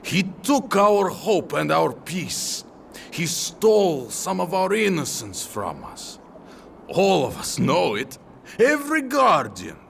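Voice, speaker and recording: A man playing a noble, earnest warrior in a fan audio drama, speaking in measured, impassioned oratory. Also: fairly high pitch, creaky, fairly bright tone, recorded from a distance, a little hiss or hum, heavily acted. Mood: pained, accusing. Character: noble, earnest male warrior rallying his comrades with solemn conviction.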